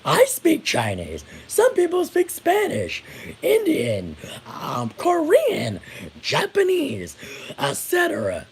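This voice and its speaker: Very high-pitched, animated American woman ranting. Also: slow pace, gravelly. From United States. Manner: blunt, indignant, swears freely. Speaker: vlogger. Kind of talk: casual opinion rant to viewers.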